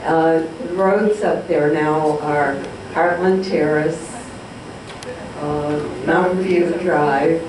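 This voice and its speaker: An older woman from the audience recalling where she grew up, with halting uhs. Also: deep voice, fairly even intonation. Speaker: audience member sharing memories. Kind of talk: casual recollection. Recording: echoey hall, distant mic, noisy.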